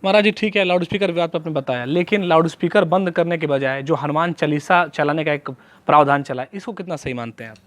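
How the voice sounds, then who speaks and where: Male interviewer asking news questions, voice a bit high, dry recording. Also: fast talker, no room sound.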